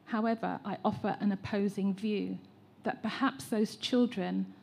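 English-accented woman, mellow husky voice. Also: mid-range voice, steady tone, measured pace. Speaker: educator and teacher trainer. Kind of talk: prepared statement at a public meeting. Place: large hall at a public meeting.

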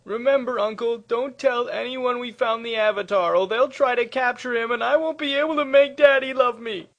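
A hot-headed teenage villain prince from a cartoon parody, acted by a man. His high voice is whiny and petulant, and his threats come out awkward. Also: steady tone, normal speed, a bit bright, muffled recording. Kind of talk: comedic cartoon parody dub.

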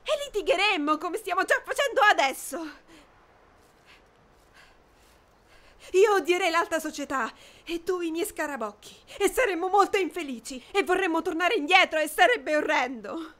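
A woman's voice: very high-pitched, animated, a little fast, a bit bright. A woman voicing a headstrong, anguished young woman in a dubbed period drama, pleading and insistent. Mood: desperate. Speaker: amateur dubbing voice actor. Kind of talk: emotional film dubbing in period drama.

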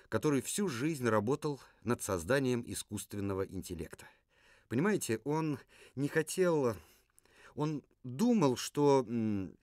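A man's voice: mid-range voice, a little mellow, no echo, clean studio sound. A man voicing a male puppet survivor from a ruined future, who talks in an earnest, lecturing way and sometimes hesitates. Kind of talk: puppet theatre performance.

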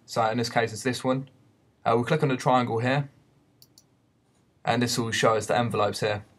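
A man giving an unscripted software tutorial in a hesitant, explanatory way. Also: medium pitch, fairly even intonation, moderate pace. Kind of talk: unscripted screen-recorded tutorial. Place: voice-over for a screen recording.

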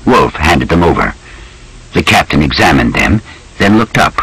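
Brief: low-voiced male narrator, lively delivery, dry close sound. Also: moderate pace, creaky, slightly rough, dry recording, poor audio. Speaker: audiobook narrator. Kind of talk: scripted audiobook narration.

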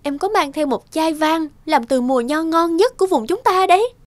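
Sweet young country woman, very high voice. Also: lively intonation, moderate pace, dry recording. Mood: cheerful, hopeful.

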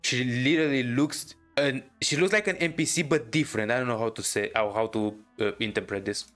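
A man reacts to a game in a casual, unscripted way. He gropes for words as he talks, with soft music playing behind him. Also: mid-range voice, brisk. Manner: hesitant, searching for words. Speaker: gamer reacting to a story quest. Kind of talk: casual gameplay commentary. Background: game music and dialogue.

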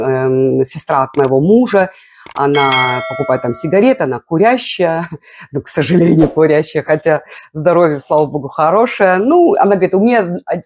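A woman's voice: low voice, a little mellow, breathy edge. A woman in her sixties, a vlogger chatting to her viewers from her living room in a chatty, digressive way through a lapel mic. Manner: chatty, digressive, many fillers. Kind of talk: casual chat to viewers. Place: living room at home, indoors.

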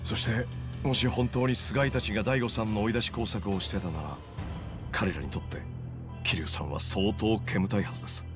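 A man's voice: on the low side, fairly quick, close to an everyday voice. An actor playing an earnest man in his thirties in a game cutscene, explaining matters at length in a serious tone. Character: earnest male informant, thirties, loyal and troubled. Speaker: loan business owner. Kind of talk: video game cutscene dialogue. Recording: game audio with music behind. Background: music.

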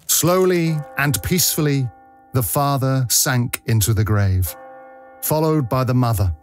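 A man, narrating a story in a dramatic storybook style. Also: medium pitch.

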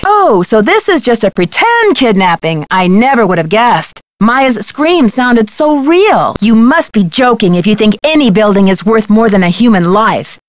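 A young woman voice actor playing a plucky, sharp-witted teenage girl detective in a video game, articulate and inquisitive. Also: medium pitch, no room sound, natural voice. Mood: sarcastic, indignant. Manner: crisp, articulate, spirited, inquisitive.

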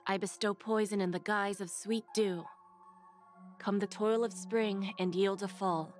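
Elegant female game schemer played by an actress, medium pitch, composed, theatrical, seductive, music underneath. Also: steady tone, measured pace, lightly acted. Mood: poised, ominous. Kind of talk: video game voice acting.

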